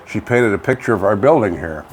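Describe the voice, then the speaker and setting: Low-voiced older Canadian man, noisy recording. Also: expressive, brisk. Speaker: co-host of a local online radio vlog. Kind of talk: casual local news chat, partly read aloud. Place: home studio, indoors. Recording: clearly noisy home studio recording.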